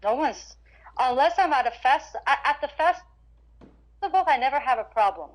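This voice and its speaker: A woman singer chats loosely in an interview. Her voice sits a little high. She repeats words and restarts sentences as she goes. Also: lively intonation, moderate pace, rough recording. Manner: chatty, frequent restarts and stammers. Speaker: guest singer-guitarist. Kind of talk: casual interview chat.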